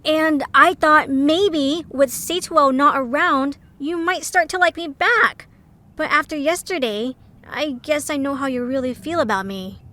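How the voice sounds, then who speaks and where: A woman's voice: sits high, unhurried, pushed and bright. A woman playing a haughty, condescending young female creature with easily hurt pride in an amateur audio drama. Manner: scornful, condescending.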